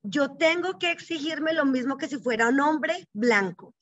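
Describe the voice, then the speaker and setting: A woman's voice: high-pitched, a little slow, a bit bright. A middle-aged woman, a psychologist and banking executive, telling her life story as an interview guest in an earnest, reflective way, often checking in with short tag questions. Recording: dull, dry, slightly lo-fi.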